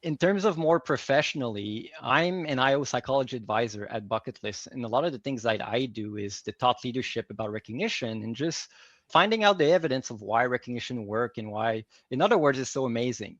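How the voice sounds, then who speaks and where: A man's voice: mid-range voice, fairly quick, a bit bright, dry recording, highs cut off. A man from Quebec City whose first language is French, answering interview questions in an earnest, searching way. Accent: Québécois French. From Quebec City, Canada. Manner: earnest, restarts and repeats words while thinking. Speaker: guest, workplace psychology advisor.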